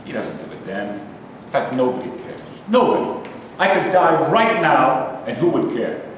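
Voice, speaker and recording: A man's voice: sing-song, a little slow. A man playing a bitter, griping, foul-mouthed older army veteran in a staged play reading. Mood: weary despair. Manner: aggrieved, profane ranting. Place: indoor live staged reading. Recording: echoey hall, distant mic, rumbling noise.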